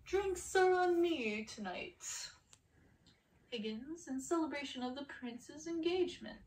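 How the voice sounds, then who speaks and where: Lady reader doing a cocky hearty hunter guy from a storybook, pitched up and loud, far from mic. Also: takes it slowly. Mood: hearty, celebrating. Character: boastful male hunter, the prince's hearty best friend. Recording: distant mic with clear background noise.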